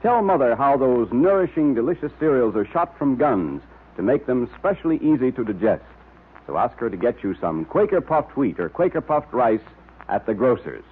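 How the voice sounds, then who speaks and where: An American man announcing and pitching in 1930s radio style. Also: very animated, normal speed, a little hiss or hum. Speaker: announcer and sponsor spokesman. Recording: tinny old radio recording.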